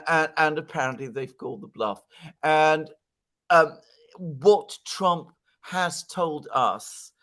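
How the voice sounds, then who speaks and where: A man's voice: fairly high pitch, fairly bright tone. A man giving conversational political analysis as a guest commentator, speaking emphatically and repeating words for stress.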